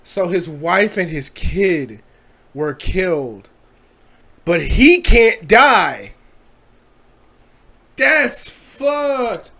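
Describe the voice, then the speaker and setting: A man giving casual, profane reaction commentary in a blunt, animated way, swearing freely. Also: high voice, drawn-out words, long pauses. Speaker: reaction video host.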